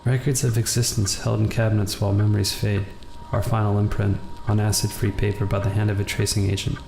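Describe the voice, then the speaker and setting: Low, gravelly man reading in monotone. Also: fast talker. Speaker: gaming video host. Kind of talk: reading visual novel narration aloud with brief casual asides. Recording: roomy echo, faint background noise.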